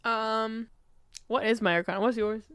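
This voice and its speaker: A woman's voice: a little high, steady tone, moderate pace, fairly bright tone, clean tone, dry recording, studio quality. A young adult woman co-hosting a podcast, chatting casually in slangy, playful banter with short reactions. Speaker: podcast co-host.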